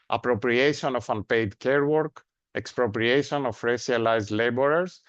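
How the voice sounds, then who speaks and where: A man's voice: medium pitch, no echo. Greek-accented man giving a seminar lecture. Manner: measured, with frequent "uh" fillers and rhetorical "no?". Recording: dry, dull lo-fi sound.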